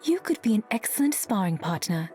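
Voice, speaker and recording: A woman voicing a proud warrior-assassin in a video game, speaking in a measured, formal way. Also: medium pitch, very animated, darkish tone, close to an everyday voice. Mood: proud, challenging. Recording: music behind.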